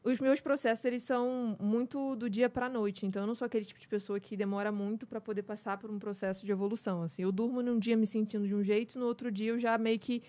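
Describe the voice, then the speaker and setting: A woman's voice: on the high side, steady tone, fast talker, few pauses, mellow. A woman in her twenties from Rio de Janeiro with a carioca accent, speaking candidly and reflectively as a podcast guest. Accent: Carioca Brazilian Portuguese. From Brazil, Rio de Janeiro state. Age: 20s. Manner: candid and reflective, many "né" and "sabe" tags. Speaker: podcast guest, communication coach. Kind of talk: casual interview chat.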